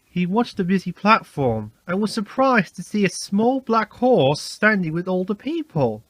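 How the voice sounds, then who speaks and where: A man's voice: sits high, big pitch swings, a little slow, a bit bright, no room sound. A man reading a scripted children's story as narrator.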